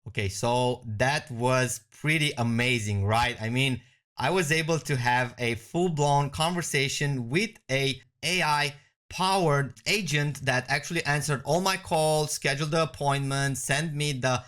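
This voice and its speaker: A man giving a conversational software walkthrough as a product demonstrator. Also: medium pitch, unhurried.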